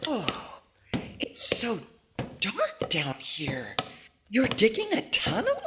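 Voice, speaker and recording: An actress as a sweet young woman in an old-time radio comedy, high and girlish. She speaks slowly, with melodramatic swings of pitch, over a noisy, clicking recording. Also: wildly swooping pitch, exaggerated voice. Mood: coy. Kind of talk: 1940s radio comedy acting.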